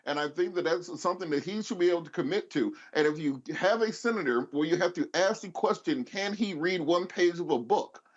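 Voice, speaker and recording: A man's voice: sits high, lively intonation, fairly quick, few pauses. A man speaking as a panelist, giving emphatic, off-the-cuff political commentary and arguing his points. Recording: dull, lo-fi remote feed.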